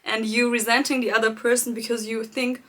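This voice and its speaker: A woman coaching viewers in a live-streamed talk, speaking gently and reflectively, addressing them directly and laughing lightly between her points. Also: fairly high pitch, flat delivery, a little fast. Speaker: life coach hosting a live challenge.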